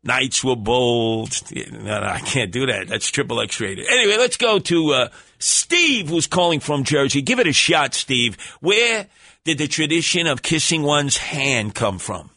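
A man's voice: lively intonation, measured pace, bright, forceful tone. A man hosting a talk radio show, brash and mocking, bantering with callers. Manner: brash, mocking, theatrical swings in pitch. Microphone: studio microphone.

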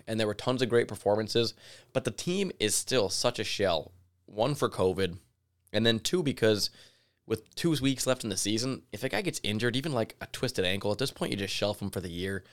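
Man, podcast host, low voice, a bit raspy, chatty, casual. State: slightly raspy from yelling at a game. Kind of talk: solo sports podcast talk.

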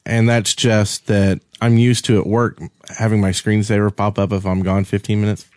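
A man with a fairly low voice chats as a podcast co-host. His delivery is laid-back and matter-of-fact, and the recording is dry with little room sound. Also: flat delivery, normal speed, a little mellow, no room sound. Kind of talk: casual chat.

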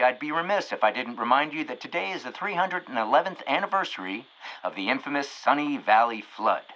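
Showy, bright-voiced small-town radio announcer. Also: male voice, medium pitch, rough recording. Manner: showy announcer delivery with dramatic pauses. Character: folksy small-town radio announcer, cheerfully upbeat man. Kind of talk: radio show hosting in audio comedy.

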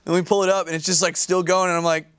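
A man's voice: sits high, level tone, racing, a bit bright, clear, resonant tone, dry recording. A man co-hosting a podcast, casual and teasing with his friends. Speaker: podcast co-host. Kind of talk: casual group banter.

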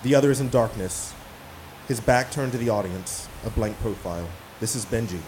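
A man narrating stage directions for a radio play in measured, declarative sentences. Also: fairly low pitch, close to an everyday voice. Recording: some background noise.

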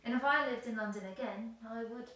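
A woman's voice: fairly high pitch, brisk, pushed and bright. An actress in an audio play voicing a passionate, world-weary woman who speaks emphatically and emotionally. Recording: very echoey room, distant, faint noise.

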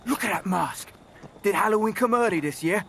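An actor playing a mouthy, war-weary young soldier in an audio drama, cheeky and excitable. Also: male voice, high voice, brisk, vocal fry, slight background noise, heavily acted. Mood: mocking, excited.

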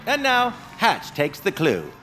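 A man's voice: high voice, wildly swooping pitch, measured pace, music in the background, heavily acted. A man playing a cheerful, unflappable radio game-show host in an audio drama, showy and politely officious. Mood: firm, genial.

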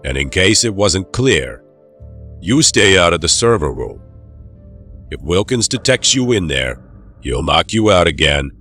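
An actor playing a cold, controlling doctor in an audio drama, giving orders in a stern, measured, quietly menacing way. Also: male voice, deep voice, big pitch swings, faint music behind, full character voice. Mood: quietly threatening.

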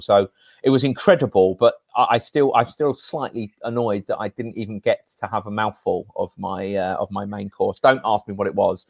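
A man in his forties from Northeast England, a sports journalist with a loud voice telling a story in casual podcast chat. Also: lowish voice, dry recording, lo-fi sound. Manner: animated storyteller, voice jumps when excited.

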